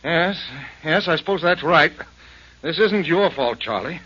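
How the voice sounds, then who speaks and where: A man's voice: a little high, lively intonation, unhurried, pushed and bright, breathy edge, full character voice. An actor playing a desperate, ruined businessman who pleads for time in a 1940s radio drama. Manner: pleading, strained. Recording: dull lo-fi 1940s radio broadcast.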